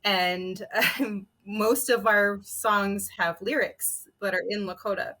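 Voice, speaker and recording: A woman's voice: mid-range voice, fairly even intonation, slow pace, a bit bright. A woman with a mid-range voice speaks as a panelist. She tells personal stories casually, in loose, conversational sentences. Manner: informal, conversational. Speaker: storyteller panelist.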